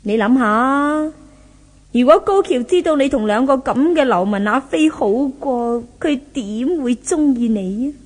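A woman's voice: high-pitched, animated, a little slow. A woman playing a spiteful, imperious young rich villainess in a 1970s Hong Kong Cantonese radio drama. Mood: cruel gloating. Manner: taunting, haughty, theatrical.

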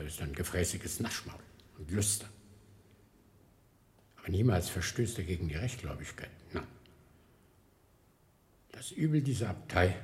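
An actor playing a fervent, gloomy old monk in a radio drama, impassioned and dropping into hushed whispers. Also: male voice, low voice. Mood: insistent. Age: older.

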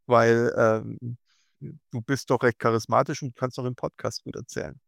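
A man of middle pitch hosting a podcast, reading out listener questions with frequent hesitations. His voice sounds dry and close to the mic. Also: steady tone, moderate pace, gravelly, no echo. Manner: hesitant, reads listener questions with many fillers. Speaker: podcast host. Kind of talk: casual podcast interview.

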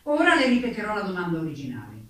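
In a strongly echoing space, some way from the mic, a woman acts as a stern committee investigator in a stage drama. The questioning is formal, deliberate and insistent, pressing the witness for answers. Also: mid-range voice, wildly swooping pitch. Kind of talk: staged courtroom docudrama. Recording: echoey room, distant mic, faint noise.

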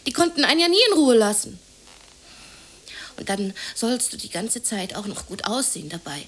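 A woman's voice: fairly high pitch, big pitch swings, normal speed. An actress in a German radio drama playing a bitter, anxious, unemployed working-class woman who confides in plaintive, colloquial speech. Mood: indignant, complaining. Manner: colloquial, confiding, plaintive, sometimes near whispering.

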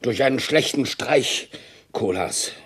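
Husky, battered, loyal farmhand. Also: male voice, medium pitch, lively intonation, slow, a bit bright, heavily acted. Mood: earnest recounting. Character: battered, loyal farmhand, sheepish but stubbornly honest. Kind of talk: German radio drama acting.

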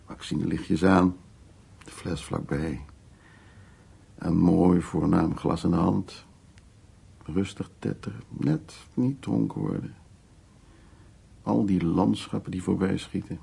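A suave, lonely man of the world in a naturalistic radio play. His voice is gravelly, very hoarse-edged and dark. He muses and teases as he presses his courtship. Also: low-pitched, steady tone, slow pace, long pauses, full character voice. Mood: wistful, musing. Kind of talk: naturalistic Dutch radio drama acting.

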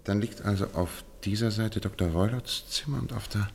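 An actor playing a brisk, shrewd, commanding detective in a radio play, speaking precisely and with authority. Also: male voice, lowish voice, gravelly, slightly rough. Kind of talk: German radio play acting.

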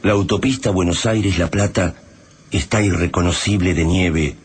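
An actor with an Argentine accent playing a solemn narrator of a bleak apocalyptic tale in a radio drama. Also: male voice, sits low, moderate pace, a little hiss or hum, lightly acted. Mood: grave, solemn.

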